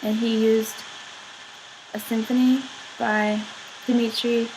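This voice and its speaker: A young woman rambling in a casual vlog, filling every pause with "like". She is sick, and her voice sounds a little husky. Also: a little high, flat delivery, background noise. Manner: chatty, filler-heavy, giggly, says "like" constantly. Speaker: vlogger.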